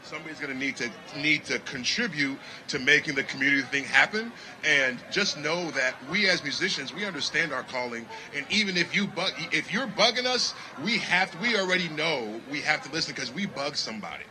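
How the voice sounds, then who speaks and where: Jazz musician guest, bright and lively, faint outdoor crowd hum. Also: male voice, fairly high pitch, fairly quick, few pauses, rough recording. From Connecticut, United States. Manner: animated, preachy, repeats "you know what I mean". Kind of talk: casual outdoor festival interview.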